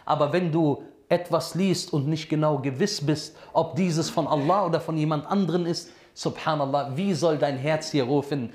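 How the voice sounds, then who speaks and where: German-speaking preacher in an echoey room. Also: male voice, on the high side. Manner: asks the audience questions and answers them himself; mixes Arabic phrases into his talk. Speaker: Islamic preacher. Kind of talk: sermon lesson to a congregation.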